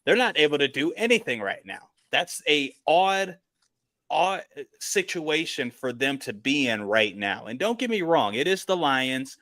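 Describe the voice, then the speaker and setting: Bright-voiced man debating sports, close and dry. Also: fairly high pitch, very animated, unhurried, dry recording. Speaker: panelist leading a sports debate.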